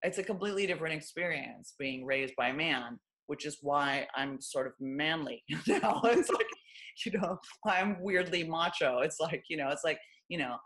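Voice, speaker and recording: A woman from Los Angeles with a deep, low voice, a former stand-up comic talking candidly and wryly as an interview guest. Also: lively intonation, normal speed, a bit bright. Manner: wry, self-deprecating, lots of fillers like "you know" and "like".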